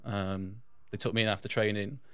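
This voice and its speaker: Smooth, low-voiced man from England. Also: level tone, normal speed, a bit bright, no room sound. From England, born in Yorkshire, raised in south-west England. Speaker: podcast guest. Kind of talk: casual personal storytelling in an interview.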